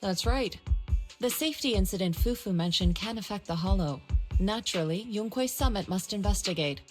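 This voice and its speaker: A woman's voice: medium pitch, animated, a little fast, faint music behind, lightly acted. An actress playing a composed, authoritative female martial arts master in a video game, formal and assured.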